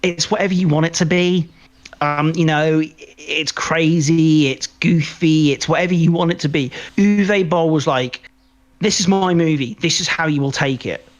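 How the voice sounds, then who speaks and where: Higher-pitched man chatting about films. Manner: rambling, halting, often repeats words mid-sentence. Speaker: podcast co-host. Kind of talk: casual film review chat.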